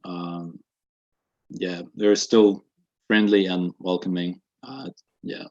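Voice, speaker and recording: A man in his twenties from Russia, with a Russian accent softened by New Zealand, answering interview questions in a halting way with many fillers, on dull lo-fi webinar audio. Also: deep voice, steady tone. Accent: Russian with a slight New Zealand accent. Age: 20s. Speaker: guest.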